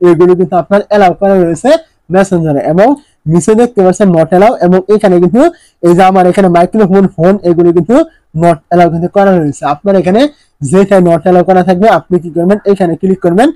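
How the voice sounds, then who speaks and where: A man's voice: high-pitched, mellow, no echo, rough recording. A man narrating a step-by-step phone tutorial, instructing repetitively and often posing questions before answering them. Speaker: tech tutorial presenter.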